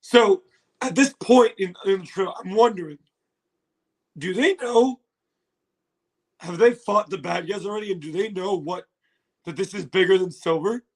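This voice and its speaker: A hoarse man hosting a casual livestream reaction, excitable and laughing, swearing now and then, recorded on a phone. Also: sits high, normal speed, long pauses, low-quality recording. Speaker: livestream host.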